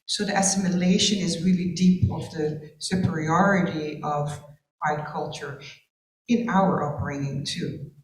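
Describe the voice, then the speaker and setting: A woman's voice: deep voice, a little mellow. A woman from Curacao with Dutch as her first language, speaking reflectively on a panel, searching for words with restarts. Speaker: panel participant. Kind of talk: panel discussion. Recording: roomy sound from far off the mic.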